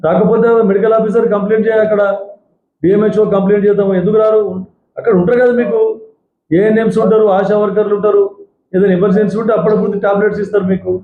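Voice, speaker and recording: A man with a Telangana Telugu accent fielding calls as a legislator, brisk and repeating himself as he answers. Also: sits high, flat delivery. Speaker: elected legislator taking phone-in calls. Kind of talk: question-and-answer with callers. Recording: phone-like sound, roomy echo, noise.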